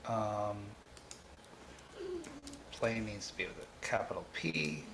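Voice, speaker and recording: A man teaches on a coding livestream in a low, very slow voice with a creaky edge. He reasons aloud haltingly, often restarting his phrases. Some background noise sits behind him. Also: steady tone. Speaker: programming tutor and livestream host. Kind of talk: thinking aloud during live coding tutorial.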